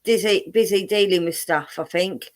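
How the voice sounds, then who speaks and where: A woman's voice: on the low side, moderate pace. An English woman hosting a live stream, reading out viewer messages in a rambling, chatty way with lots of fillers and frequent laughs. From England, born in London, raised in Buckinghamshire.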